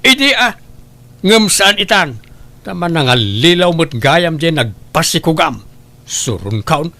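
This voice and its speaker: A man's voice: on the high side, sing-song, a little slow, pushed and bright, exaggerated voice. A man with an Ilocano accent playing a nagging, grumbling older father in a comedy drama. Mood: scolding. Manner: complaining, scolding. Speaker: drama actor.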